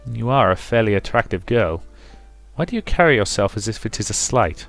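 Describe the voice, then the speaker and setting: A man's voice: fairly low pitch, animated, fairly quick, fairly bright tone, soft background music. A man voicing a strict, aloof nobleman who speaks formally and bluntly, as in a visual novel. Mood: matter-of-fact. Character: stern, aloof nobleman, strict matter-of-fact teacher.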